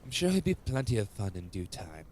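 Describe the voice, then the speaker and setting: An actor playing a patient, dryly teasing guardian with formal old-world diction in an audio drama. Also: male voice, lowish voice, big pitch swings, fairly quick, darkish tone, a little hiss or hum.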